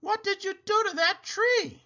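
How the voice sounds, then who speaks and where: Man playing an excitable exasperated lady, high-pitched. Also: a little slow, lo-fi sound, heavily acted. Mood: shocked, scolding. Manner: emphatic, exclamatory. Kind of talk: children's storybook character voice.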